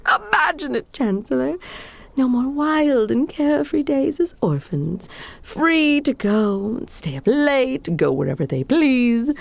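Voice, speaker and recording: A woman performing a comedic monologue as a vain, scheming evil sorceress, sliding into hushed whispers and gloating laughs. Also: high voice, very animated, measured pace, gravelly, exaggerated voice. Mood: triumphant.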